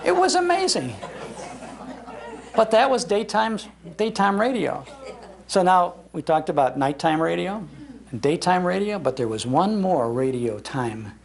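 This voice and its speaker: A man's voice: high-pitched, measured pace, vocal fry, a faint crowd. Older man telling nostalgic stories. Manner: animated storyteller, emphatic repetition. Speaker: radio show host giving a talk. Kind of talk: nostalgic live storytelling talk. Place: public library talk before an audience.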